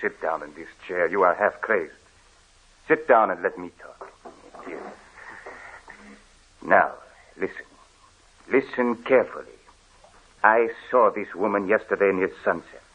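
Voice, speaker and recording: An actor playing a grave, commanding police officer in an old radio play, questioning and recounting in a measured way. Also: male voice, low-pitched, fairly bright tone, breathy edge, full character voice. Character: stern, deliberate male police officer questioning a suspect. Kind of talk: old radio drama acting. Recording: tinny, hissy old radio recording.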